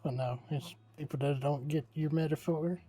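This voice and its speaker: Guy with a mellow, highish voice, jokey banter in a roleplay. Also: fairly even intonation, normal speed, faint music behind. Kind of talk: casual roleplay chat in character.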